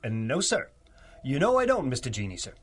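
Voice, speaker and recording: A man's voice: medium pitch, huge pitch swings, fairly quick, husky. An actor playing a dim, servile gangster henchman in a 1930s-style radio serial, slangy and obsequious. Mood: nervous pleading. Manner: stammering, obsequious, streetwise slangy grammar.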